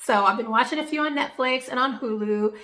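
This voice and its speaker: A woman's voice: on the high side, a little fast, sharp tone. A young woman with a congested, nasal voice, chatting cheerfully and casually to camera in a home vlog. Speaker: vlogger.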